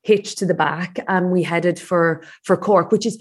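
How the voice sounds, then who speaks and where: A woman's voice: on the low side, steady tone, unhurried, husky. An Irish woman guest in casual chat, talking freely with frequent restarts and laughs. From Ireland.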